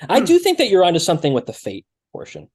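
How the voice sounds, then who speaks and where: Casting note: podcast co-host with animated intonation, wry and teasing, close dry mic. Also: mid-range voice, fast talker, breathy edge, dry recording. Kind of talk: casual chat.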